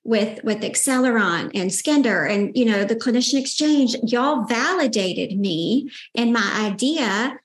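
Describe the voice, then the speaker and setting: A woman's voice: a little high, animated, unhurried, hardly any pauses, roomy sound, rough recording. A woman with a Southern accent and a sweet-toned voice, telling her story as a guest in a casual interview, candid and determined. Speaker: guest, founder and CEO.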